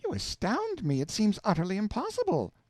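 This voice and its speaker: Male reader playing a high-voiced, exclamatory housewife shouting her half of a phone call for a storybook reading; bouncy inflection. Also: wildly swooping pitch, brisk, no room sound, studio quality, exaggerated voice. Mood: exclaiming. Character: chattering housewife on the telephone.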